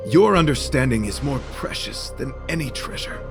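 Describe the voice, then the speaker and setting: An actor voicing a noble, courtly knight devoted to beauty, speaking formal, florid lines earnestly in a theatrical video game style. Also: male voice, medium pitch, expressive, normal speed, vocal fry, music in the background. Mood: courteous gratitude.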